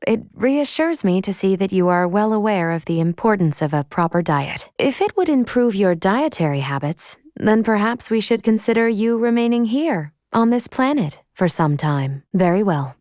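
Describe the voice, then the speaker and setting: A woman voicing a composed female android in a video game, speaking formally and precisely with a measured, deadpan delivery and dry care. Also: medium pitch, no room sound, natural voice. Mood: composed, concerned. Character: composed female android crew member, formal and dryly caring. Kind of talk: scripted video game voice acting.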